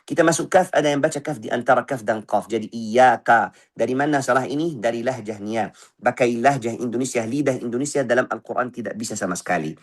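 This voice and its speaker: A man teaching Quran recitation, correcting pronunciation by voicing the wrong and right sounds in turn and repeating short syllables slowly. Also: mid-range voice. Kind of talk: tajwid lesson with spoken recitation examples.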